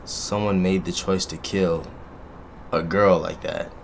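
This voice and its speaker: A man with a deep voice doing animated, joking let's play commentary and talking straight to his audience. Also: slow pace, gravelly, background noise. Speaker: gaming YouTuber.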